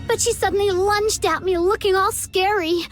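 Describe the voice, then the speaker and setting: An excitable little girl from a video game, jittery and dramatic. The voice is extremely high and breathless, over faint music. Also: normal speed. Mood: shaken, recounting. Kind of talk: video game cutscene voice acting.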